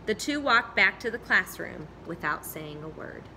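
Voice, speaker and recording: A woman storyteller reading a children's story aloud in an expressive narrating style. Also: mid-range voice, normal speed, background noise.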